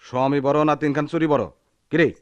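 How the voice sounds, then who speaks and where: An actor playing a bullying, aggrieved village husband with a rural Bangladeshi accent, scolding and taunting in a radio drama style. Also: male voice, on the high side, steady tone, fast, darkish tone, clear, resonant tone, dry recording, dull audio, close to an everyday voice. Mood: threatening. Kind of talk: Bengali radio drama acting.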